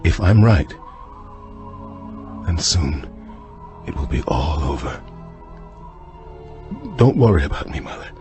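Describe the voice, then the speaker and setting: Deep-voiced, slow, mournful son. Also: male voice, animated, heavily acted. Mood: mournful, resigned. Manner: hushed, halting, mournful. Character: somber, remorseful grown son speaking to his mother. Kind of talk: radio drama monologue. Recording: somber music behind the voice.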